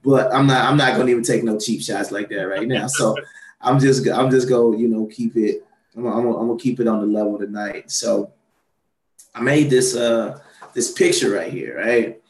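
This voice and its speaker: A man's voice: medium pitch, moderate pace, reverberant, low-quality recording. A man hosting a casual political talk show, his voice tired and worn, rambling with lots of fillers and restarts. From Atlanta, Georgia. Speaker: podcast host.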